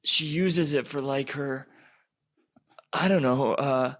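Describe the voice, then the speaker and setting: Man as slow, halting teenage little brother. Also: medium pitch, fairly bright tone. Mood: pleading. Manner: halting, stammering, slangy. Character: wheedling trouble-prone teenage little brother.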